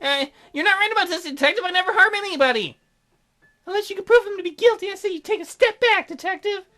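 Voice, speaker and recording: A high-pitched, defensive murder suspect with a taunting manner acted by a visual novel player. The voice belongs to a mystery game, with music underneath. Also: fast talker, pushed and bright. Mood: indignant. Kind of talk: visual novel character voicing. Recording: music behind.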